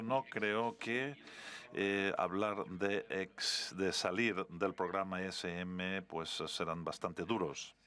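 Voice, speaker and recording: A man interpreting a formal speech live, halting and correcting himself, with stretched words followed by quicker bursts. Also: low voice, a bit bright. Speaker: simultaneous interpreter.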